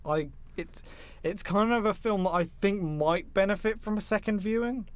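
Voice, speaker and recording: A man's voice: sits high, moderate pace, clean tone, no echo. A man co-hosting a podcast, talking casually about films with others. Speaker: podcast co-host. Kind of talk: casual film discussion.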